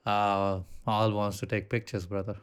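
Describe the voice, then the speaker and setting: A man with an Indian accent, co-hosting a casual podcast chat, relaxed, swearing freely and often restarting his sentences. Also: fairly low pitch, moderate pace, clean tone. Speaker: podcast co-host.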